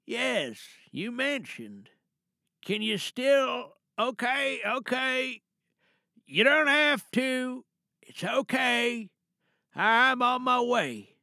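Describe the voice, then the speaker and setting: A man playing a protective, grim older father in an audio drama monologue, speaking in a halting, deliberate and coldly calm way. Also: very high voice, creaky, dry recording, studio quality, full character voice. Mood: anxious, reassuring. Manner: halting, hushed reassurance turning cold and deliberate. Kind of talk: dramatic audio monologue, one side of a phone call.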